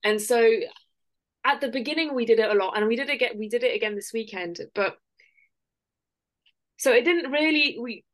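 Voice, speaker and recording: A woman's voice: a little high, a little fast, long pauses. A woman co-hosting a podcast, speaking in casual, unscripted chat. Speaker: podcast co-host.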